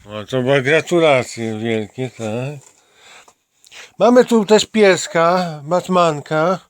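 Sex: man. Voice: higher-pitched, dry close sound. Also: takes it slowly, no echo. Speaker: amateur channel host interviewing a companion. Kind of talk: casual homemade interview chat. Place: small home computer room.

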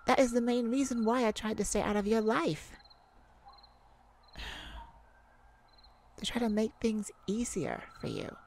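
Slow, gravelly, lovesick vampire played by a woman. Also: on the high side, expressive, a little mellow, slight background noise. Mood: distressed, bewildered. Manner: hesitant, emotional, halting with sighs and pauses. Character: tender, wounded, lovesick vampire speaking to a hunter. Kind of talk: ASMR roleplay, intimate dramatic monologue.

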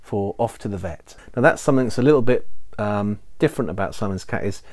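Lowish-voiced middle-aged man. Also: lively intonation, quick pace, a little mellow. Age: 40s. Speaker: animator. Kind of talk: casual talk to camera. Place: recording studio. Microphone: lapel mic.

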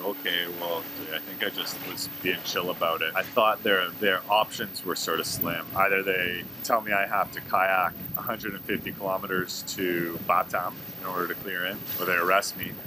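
A man in his forties from Canada tells a travel story in an easygoing, animated way. His voice sits very low and relaxed. It's recorded outdoors on a small clip-on mic with clear background noise. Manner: relaxed, chatty, animated storyteller with lively asides. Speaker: adventure vlogger. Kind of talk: casual travel vlog storytelling. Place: outdoor seaside cafe and on the water in a kayak.

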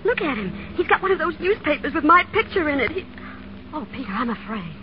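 A woman's voice: high-pitched, big pitch swings, a little fast. An actress playing a pampered, plaintive, spirited young woman in a 1930s radio drama. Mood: anxious. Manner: plaintive, questioning. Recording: dull, noisy 1930s radio recording.

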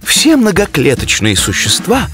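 A man's voice: a little high, wildly swooping pitch, unhurried. A man voicing a gloomy, learned, ageing cartoon moose who speaks theatrically, as if lecturing, in a children's animation. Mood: explaining. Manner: melancholy, theatrical, explanatory. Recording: music under the voice.

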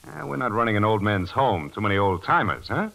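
An actor with an American accent playing a smug, bossy young factory boss, blustering and condescending in 1940s radio style. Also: male voice, lowish voice, expressive, fairly quick, creaky, bright, forceful tone, heavily acted.

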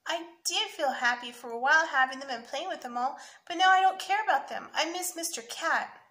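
Woman putting on a high, excitable little storybook boy. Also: fairly quick. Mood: earnest, pleading. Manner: exclaiming and pleading, childlike. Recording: roomy echo, faint noise.

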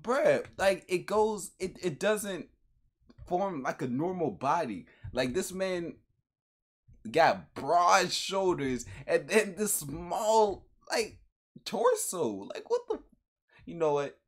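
A man's voice: sits high, sing-song, slow. A young American man casually reacting in slangy, repetitive commentary, chuckling as he goes. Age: young adult. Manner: slangy, repeats himself, chuckling. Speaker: reaction video host. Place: small room at home, indoors.

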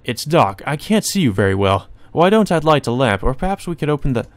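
A man's voice: medium pitch, big pitch swings, brisk, no echo, pitched above the performer's own voice. A man voicing a well-bred young nobleman from a visual novel, hesitant and formal. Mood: uneasy, flustered.

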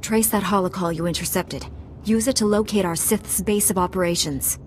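Woman Jedi Knight, played by an actress, calm, earnest, resolute, game dialogue, faint background noise. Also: mid-range voice, moderate pace, lightly acted. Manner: composed, earnest, firm. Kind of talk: video game cutscene acting.

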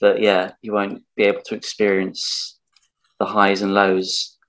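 A man's voice: lowish voice, monotone, measured pace, a bit bright, low-quality recording. A man, an improv performer, chatting casually. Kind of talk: casual chat.

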